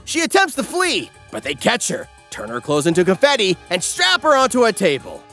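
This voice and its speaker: A man's voice: high voice, huge pitch swings, brisk, sharp tone. A man reading a scripted comedic anime recap as narrator, sardonic and theatrical. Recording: music behind.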